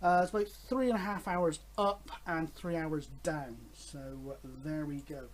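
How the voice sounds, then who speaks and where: High-voiced man in his fifties, chatting casually. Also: unhurried. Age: 50s. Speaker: host of a radio hobby vlog. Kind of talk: casual, rambling chat to camera.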